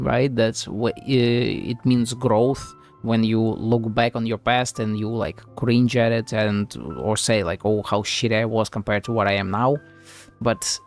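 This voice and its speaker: Musing male game streamer, medium pitch. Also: vocal fry. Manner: musing, thinking aloud. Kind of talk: casual playthrough commentary with some reading aloud. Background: soft game music.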